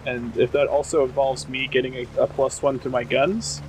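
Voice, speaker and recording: A man talking casually as a tabletop game player, stating his moves in an informal, deliberate way. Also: medium pitch, little pitch movement, a little mellow, a little hiss or hum, poor audio. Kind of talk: casual game play talk.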